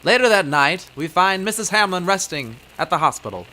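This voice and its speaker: Man, old-time radio narrator, high voice, scripted announcer delivery, noisy clicking background. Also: very animated, fairly quick.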